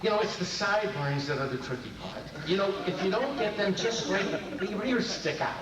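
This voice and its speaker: A man's voice: high voice, animated, fairly quick, a loud crowd. A man playing a fretful middle-aged husband who repeats himself plaintively in a live old-time radio comedy sketch. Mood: absorbed, rueful. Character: fretful middle-aged husband fixated on his lost barber. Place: convention stage before a live audience. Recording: echoey hall, distant mics, noisy.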